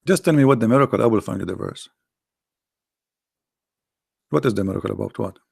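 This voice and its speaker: A man's voice: lowish voice, brisk, gravelly, husky, no room sound, studio quality. A man whose first language is Arabic, hosting a live unscripted debate in an insistent, challenging manner, often repeating himself. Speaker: host debating a guest.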